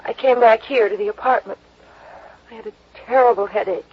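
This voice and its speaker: High, breathy, dazed American murder suspect. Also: female voice, fairly quick. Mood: dazed, confused. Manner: faltering, breathless, pleading. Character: dazed, anxious young female murder suspect. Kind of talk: 1950s radio drama acting. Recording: dull old radio recording.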